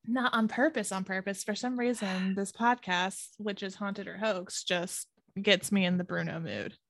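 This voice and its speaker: A woman's voice: mid-range voice, fairly even intonation, normal speed, no echo. A woman co-hosting a podcast, bubbly and giggly, rambling in casual chat. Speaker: podcast co-host.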